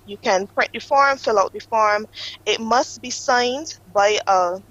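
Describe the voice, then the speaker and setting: A woman, a guest on a morning TV show, explaining an application process in a steady, informative way and sometimes correcting herself. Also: on the high side, unhurried, dull audio. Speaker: guest from an accreditation office. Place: TV studio.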